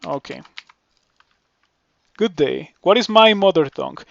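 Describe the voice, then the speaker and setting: A man from Mexico with a Mexican Spanish accent and Spanish as his first language, calmly commentating a live game stream and thinking aloud with many fillers. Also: on the high side, unhurried, gravelly, lo-fi sound, slight background noise.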